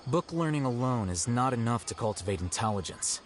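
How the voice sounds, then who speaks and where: A man's voice: mid-range voice, expressive, a little fast, a little hiss or hum, lightly acted. A man voicing a dry, aloof young scholar. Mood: dry, dismissive. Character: aloof, dryly sarcastic young male scholar. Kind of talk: video game voice line.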